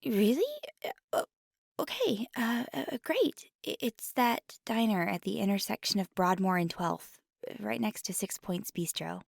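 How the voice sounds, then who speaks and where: A woman playing a nervous, earnest paranormal investigator in an ASMR roleplay, speaking softly in a hesitant, stammering, rambling way. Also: medium pitch, expressive, a little slow, creaky, dry recording, clean studio sound. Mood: nervous, hopeful. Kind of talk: ASMR roleplay, intimate one-sided dialogue.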